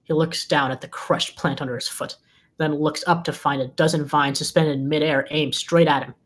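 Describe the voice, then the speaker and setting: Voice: mid-range voice, steady tone, moderate pace. A narrator reading scripted stage directions at a live table read.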